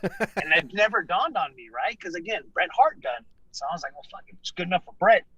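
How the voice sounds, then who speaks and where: A man's voice: high voice, lively intonation, fairly quick, fairly bright tone, breathy edge, poor audio. A man in his late thirties, a wrestler guest on a podcast, chatting casually and laughing as he tells stories. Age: 30s. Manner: laid-back, laughing, profane. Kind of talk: casual chat.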